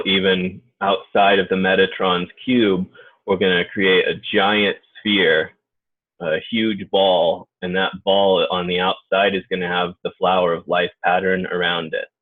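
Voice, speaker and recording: A man leading an unscripted guided group meditation in an informal, halting way. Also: low voice. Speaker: guided meditation leader and healer. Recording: muffled phone-like narrow-band audio.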